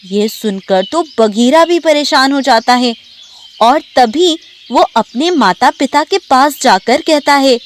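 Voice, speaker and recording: High-pitched, slow female storyteller. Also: expressive, a little hiss or hum. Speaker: narrator. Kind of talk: scripted children's animal story narration.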